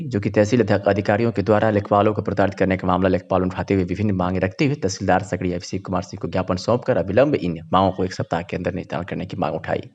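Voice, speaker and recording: Very deep-voiced Indian news narrator, man. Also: a little mellow. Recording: dull, muffled voice-over.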